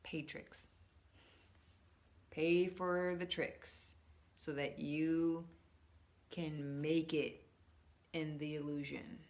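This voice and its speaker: A woman talking to her viewers in a casual, rambling monologue, slowing down to stress words and restarting her sentences often. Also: sits low, little pitch movement, a little mellow. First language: English. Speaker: vlogger.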